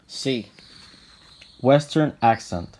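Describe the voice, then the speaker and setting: A young man from Puerto Rico with a Puerto Rican accent, narrating a scripted explainer in a steady, list-like way. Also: medium pitch, very slow. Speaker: channel host.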